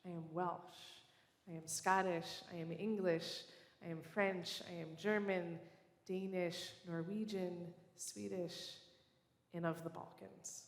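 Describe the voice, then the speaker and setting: A preacher giving an earnest, measured and personal sermon in a church sanctuary, speaking as a guest. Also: female voice, fairly low pitch, fairly even intonation. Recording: echoey church hall.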